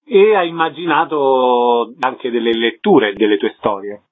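A man's voice: medium pitch, a little slow. A man working as a radio interviewer, asking questions in a conversational style. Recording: narrow, phone-like radio sound.

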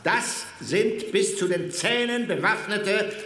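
An actor playing a German chancellor who talks firmly and dismissively to the press in a radio drama. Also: male voice, sits high, slow pace, a bit bright, reverberant. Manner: firm, dismissive public speaker. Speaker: politician.

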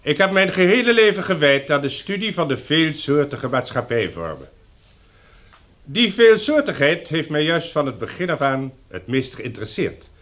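A man's voice: fairly high pitch, sing-song, moderate pace, a bit bright. A man acting in a radio drama as an elderly sociology professor, earnest and long-winded, lecturing in a pedantic, oratorical way. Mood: pedantic, ponderous.

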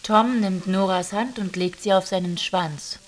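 A woman's voice: medium pitch, unhurried, a little hiss or hum, close to an everyday voice. A woman acting in a radio drama as a young storyteller, narrating in a matter-of-fact, laconic way. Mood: detached.

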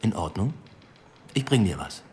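A man's voice: fairly low pitch, big pitch swings, normal speed, a little mellow, a little hiss or hum. An actor playing a dry-witted, self-assured, composed male police inspector in a radio drama. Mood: wry, guarded. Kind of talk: German radio drama acting.

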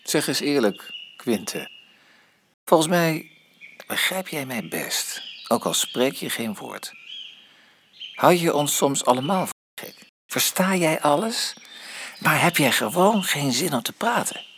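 A man's voice: on the high side, takes it slowly, long pauses, slight background noise, full character voice. A pompous, chatty father. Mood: playful. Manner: pompous, lively. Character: pompous, talkative father of a mute toddler. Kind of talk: radio drama acting.

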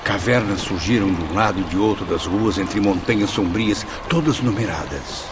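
A man's voice: sits low, moderate pace, noisy background, close to an everyday voice. An actor playing a sombre spirit who recounts his torment as first-person narrator of a solemn radio drama.

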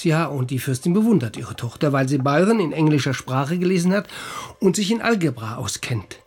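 An actor playing a small, thin, skeptical doctor, a wry and knowing confidant who passes on gossip in a radio play. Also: male voice, on the high side, a little mellow, husky. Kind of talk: literary radio play dialogue.

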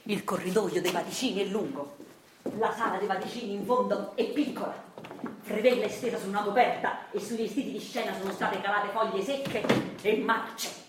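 A woman playing a self-styled intellectual husband who narrates a radio drama theatrically and deliberately, with a Neapolitan accent. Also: medium pitch, reverberant, background noise, lightly acted. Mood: hushed suspense. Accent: southern Italian, Neapolitan. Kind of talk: theatrical scripted storytelling.

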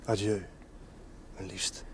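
Sombere, dreigende jonge verschoppeling, lage stem. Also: male voice, low-pitched, level tone, takes it slowly, creaky, heavily acted. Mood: menacing longing. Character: embittered, menacing young male outcast, lovesick and brooding. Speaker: actor in a television drama. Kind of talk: 1970s Dutch television drama acting. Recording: noisy old television soundtrack.

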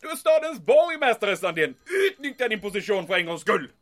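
An actor playing a bombastic, paranoid German military commandant in an audio drama, excitable, barking orders and peppering his speech with German. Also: sits high. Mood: impatient, commanding.

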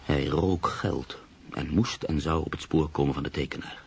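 Pensive brooding man, radio drama, very low pitch, gravel in the throat, sceptical, literary phrasing. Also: steady tone, normal speed, exaggerated voice. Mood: reflective, uneasy. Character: brooding, sceptical man narrating his own uneasy story. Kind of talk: radio drama narration and dialogue.

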